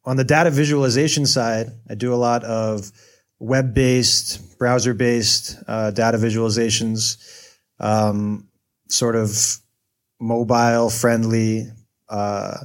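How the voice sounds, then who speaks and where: American man, interview guest, mid-pitched, loose and thoughtful, plenty of ums. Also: flat delivery, slow pace. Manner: thoughtful, hedging with many fillers. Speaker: guest, data journalist. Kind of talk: casual interview answers.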